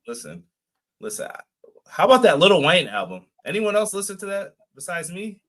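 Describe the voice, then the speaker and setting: A man's voice: high-pitched, very animated, normal speed, fairly bright tone. A man co-hosting a live wrestling podcast, chatting casually, reading viewer comments aloud and laughing often. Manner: laid-back, reads chat comments aloud, laughs often. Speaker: podcast co-host. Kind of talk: casual live-stream chat.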